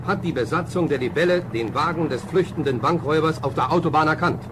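A man's voice: a little high, quick pace. A man narrating a German children's audio drama in a dramatic, scripted style. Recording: clear vehicle noise behind.

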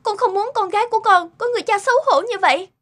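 A woman's voice: extremely high pitch, very fast, a bit bright. A woman playing a proud, hurt young mother in an emotional cartoon melodrama. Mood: hurt, resentful.

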